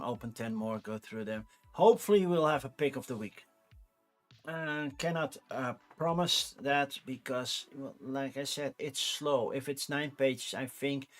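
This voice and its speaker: A Dutch-accented man in his forties talks to his livestream viewers in an easygoing, meandering way, often stopping to restart his sentences. His voice sits at a middle pitch for a man, and soft music plays quietly underneath. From Netherlands. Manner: rambling and easygoing, with frequent restarts. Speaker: streamer. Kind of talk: casual livestream chat.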